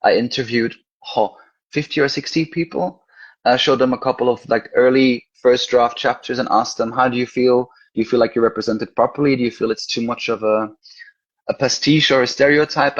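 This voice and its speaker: Husky-voiced European man, chatty guest. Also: medium pitch, dull audio. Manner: chatty, laughs often, many "like" fillers. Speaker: guest author. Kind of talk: casual interview chat.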